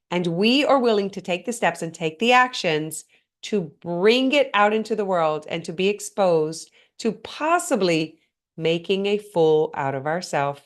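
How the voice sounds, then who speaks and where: Earnest woman hosting a motivational podcast. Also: on the low side. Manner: earnest, questioning the listener directly. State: slightly hoarse, congested. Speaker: podcast host. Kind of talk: motivational solo talk.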